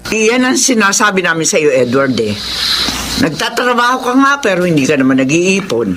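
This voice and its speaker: A woman with a Filipino accent playing a pious, forgiving older mother in a radio drama, earnest and gently admonishing. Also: lowish voice, big pitch swings, fairly quick. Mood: gently scolding. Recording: faintly noisy radio drama recording.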